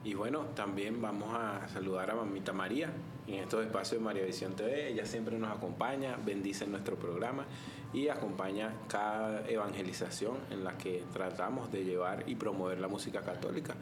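A man's voice: on the low side, fairly quick. A man co-hosting a religious talk show, devoutly leading a prayer and welcoming a guest. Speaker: co-host. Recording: roomy room with background noise.

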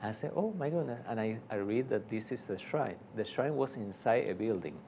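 Argentine priest guest, lower voice, halting with lots of "uh" and restarts, faint background hum. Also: male voice, animated, warm tone. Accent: Argentine Spanish. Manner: halting, many fillers and restarts. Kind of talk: casual interview storytelling.

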